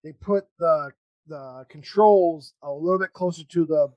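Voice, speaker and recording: A man hosting a casual interview chat, talking in a halting, rambling way with false starts. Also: fairly high pitch, no room sound, muffled recording. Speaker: podcast host.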